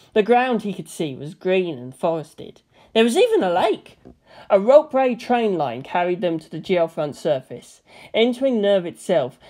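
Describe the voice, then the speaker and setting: A woman's voice: medium pitch, mellow. A woman narrator reading a scripted story as an audiobook.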